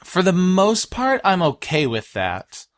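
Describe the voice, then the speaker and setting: A man co-hosting a podcast in casual chat, enthusiastic and rambling, his voice going hoarse. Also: high-pitched, wildly swooping pitch, slow pace, dry recording, studio quality. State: losing his voice. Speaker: podcast co-host.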